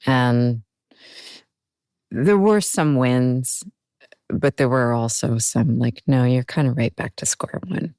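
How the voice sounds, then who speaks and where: Deep-voiced woman, dry close sound. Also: takes it slowly, a little mellow, smooth, no room sound. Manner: reflective, laughs often. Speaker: guest actor. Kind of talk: casual interview chat.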